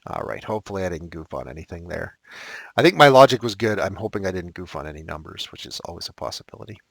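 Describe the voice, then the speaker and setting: A man teaching a recorded math lesson, thinking aloud and correcting himself as he works problems, his voice slightly raspy. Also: low-pitched, lively intonation, quick pace, no room sound.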